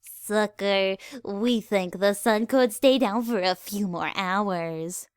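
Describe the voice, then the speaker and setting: A woman's voice: mid-range voice, slow pace, clear, resonant tone, no echo, clean studio sound, lightly acted. A woman voicing a proud, easily flustered young alicorn princess of the night who speaks in an archaic royal manner. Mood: sly.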